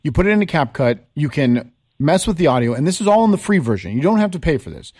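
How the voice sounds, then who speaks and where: Animated, emphatic man co-hosting radio. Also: a little high, quick pace. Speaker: co-host. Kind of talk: radio talk show banter.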